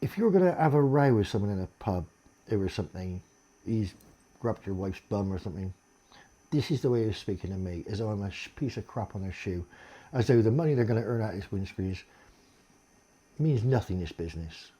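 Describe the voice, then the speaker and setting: A deep-voiced man, in a blunt, sweary, aggrieved monologue complaining to camera. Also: animated, brisk, dark tone.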